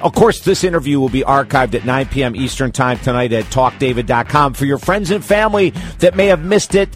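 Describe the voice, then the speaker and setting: A man's voice: mid-range voice, lively intonation, a little fast, faint music behind. A man hosting a radio talk show, enthusiastic and speaking directly to his listeners. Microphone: studio microphone.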